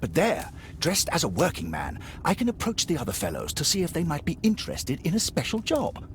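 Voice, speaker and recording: A self-assured physician of the Victorian era in a game. His eloquent pitch to the listener swoops dramatically up and down, with faint noise behind it. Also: huge pitch swings, brisk. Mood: suave, persuasive. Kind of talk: video game voice acting.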